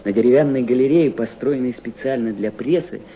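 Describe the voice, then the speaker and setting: An actor playing an earnest member of a Soviet delegation, narrating his memories with deliberate, dramatic phrasing in a radio drama. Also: mid-range voice, crowd noise, lightly acted. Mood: reminiscing. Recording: dull old recording with background ambience.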